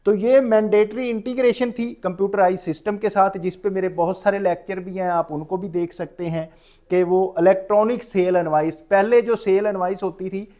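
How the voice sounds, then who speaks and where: High-pitched Pakistani man explaining. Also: fairly even intonation, normal speed, dark tone. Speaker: tax professional giving a lecture. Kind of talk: informal explanatory lecture mixing English terms.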